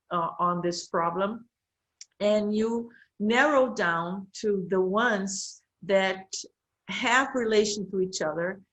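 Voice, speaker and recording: A Brazilian-accented woman in her fifties presents to an online audience as a professor, speaking English as a second language. She pauses often, filling gaps with "uh" and restarting phrases as she reaches for the right word, at a mid pitch typical for a woman. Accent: Brazilian Portuguese. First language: Portuguese. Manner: hesitant, many fillers, searching for words.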